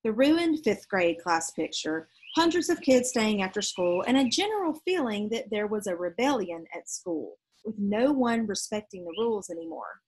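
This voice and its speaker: A woman's voice: medium pitch, sing-song, moderate pace, few pauses, darkish tone, husky, poor audio. A woman in her thirties reading a children's storybook aloud expressively outdoors. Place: outdoors on a leafy backyard porch.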